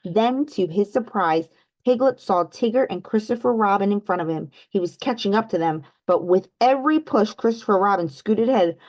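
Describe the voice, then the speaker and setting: A woman reading a picture book aloud for children, pausing to ask her young listeners questions. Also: medium pitch, lively intonation, darkish tone, low-quality recording. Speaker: children's read-aloud host. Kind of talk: storybook read-aloud.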